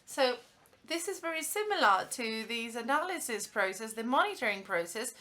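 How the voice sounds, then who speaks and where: A woman giving a live simultaneous interpretation of a conference welcome speech, halting often with fillers and restarts. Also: a little high, sing-song, bright, forceful tone.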